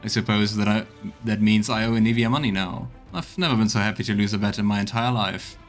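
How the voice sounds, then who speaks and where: A man's voice: lowish voice, fast, soft background music, close to an everyday voice. A man voicing a frank, practical crusader scout in a fantasy game, speaking in a hearty, plain-spoken way. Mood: relieved, joking. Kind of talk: voiced reading of fantasy game dialogue.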